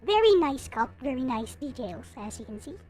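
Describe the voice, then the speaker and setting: A woman giving a casual, unscripted show-and-tell as a vlogger, in a halting way as she thinks aloud. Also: high voice, sing-song, darkish tone, slight background noise. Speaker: vlogger showing collectible figures.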